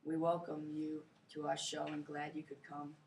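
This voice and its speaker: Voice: medium pitch, completely flat delivery, normal speed, vocal fry, distant mic. A talk show host in a homemade skit, asking questions in an upbeat, chatty way. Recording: roomy, off-mic and noisy.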